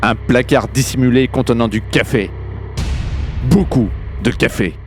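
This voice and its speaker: A man's voice: mid-range voice, a little slow, close to an everyday voice. Over prominent music comes a man acting as a squeamish village police inspector in an audio comedy. He is casual and a bit hesitant, a bumbling detective with an everyday voice. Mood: matter-of-fact. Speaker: narrator and character in audio comedy. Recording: music under the voice.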